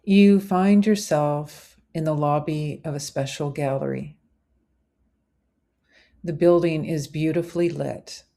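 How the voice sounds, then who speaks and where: A woman narrating a scripted guided visualization as a meditation host, in measured, deliberate phrases. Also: sits low, mellow.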